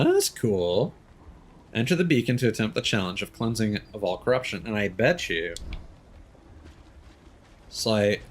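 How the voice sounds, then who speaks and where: A young man streaming a game, chatting casually and thinking aloud as he plays. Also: on the low side. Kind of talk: live gameplay commentary.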